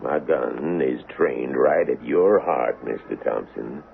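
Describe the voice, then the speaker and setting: An actor playing a stern but reluctant sheriff in a Western radio drama, speaking in a firm, folksy, drawn-out way. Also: male voice, very deep voice, creaky, full character voice. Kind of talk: 1970s radio drama acting. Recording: dull, noisy vintage radio recording.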